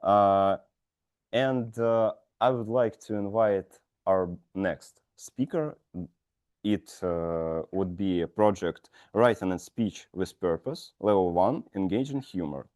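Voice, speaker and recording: A man's voice: low voice, takes it slowly, darkish tone. A man hosting a speaking club meeting, introducing the next speaker in a hesitant way with many fillers. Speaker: meeting host.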